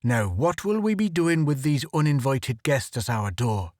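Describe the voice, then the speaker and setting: A man's voice: medium pitch, animated, a little slow, a little mellow, dry recording, studio quality, natural voice. A man voicing a big, brash Irish adventurer with an Irish accent and a swaggering, joking manner. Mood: gruff. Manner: bluff, swaggering, jokey.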